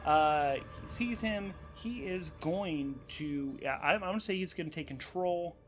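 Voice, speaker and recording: Higher-voiced man narrating a tabletop game. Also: measured pace, a little hiss or hum. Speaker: host, narrator and game master. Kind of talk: solo tabletop game narration, thinking aloud. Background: background music.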